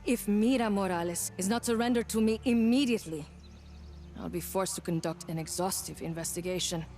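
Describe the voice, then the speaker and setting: A woman playing a merciless military commander in a video game, speaking in a formal, measured, authoritative way. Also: medium pitch, a little mellow, faint music behind, lightly acted. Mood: cold, threatening. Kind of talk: video game cutscene acting.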